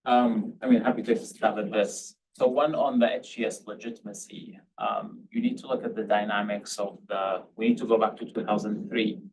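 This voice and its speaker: Gravelly low-voiced man on a policy panel. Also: steady tone, moderate pace, hardly any pauses, a little mellow. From Syria. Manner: hedging, restarts often with um and I mean. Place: panel event hall. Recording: distant mic, faint background noise.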